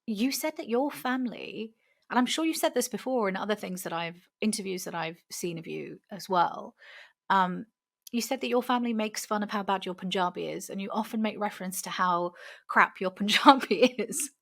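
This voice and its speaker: A woman from England hosting a podcast interview, chatty and quick to laugh as she asks questions and shares her own story. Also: medium pitch, vocal fry, fairly bright tone. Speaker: podcast host.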